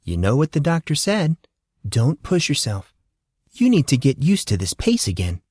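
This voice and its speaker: A woman's voice: extremely low pitch, big pitch swings, normal speed, a little mellow, husky, dry recording, clean studio sound, pitched above the performer's own voice, full character voice. A man voicing a cheerful, doting adult woman who teases and reassures a teenager in an audiobook. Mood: soothing. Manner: affectionate, teasing, upbeat.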